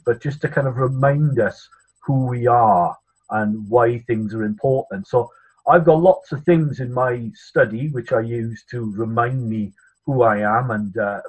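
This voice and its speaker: A Welsh man in his thirties, a priest, giving a chatty school assembly talk from his study over a video call, with many filler pauses. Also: medium pitch, husky, rough recording. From Wales. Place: home study, indoors. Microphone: laptop webcam on video call.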